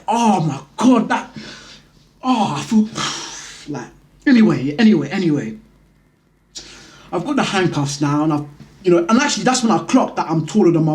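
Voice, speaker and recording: A man with a London accent hosting a vlog, telling a personal story in a casual, slangy, conversational way. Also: fairly high pitch. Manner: conversational, slangy, animated retelling. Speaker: vlog host.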